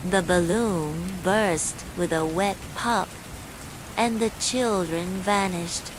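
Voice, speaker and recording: A woman reading a scripted bedtime horror story in a measured, suspenseful way, as a storyteller. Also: on the low side. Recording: steady loud rain noise throughout. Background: falling rain.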